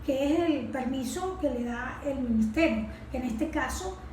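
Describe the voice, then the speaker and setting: Venezuelan woman, expert interviewee, didactic and high-pitched, recorded in a very reverberant room with faint noise. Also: moderate pace, darkish tone. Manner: didactic, asks and answers own questions. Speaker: environmental expert guest. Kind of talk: explanatory interview talk.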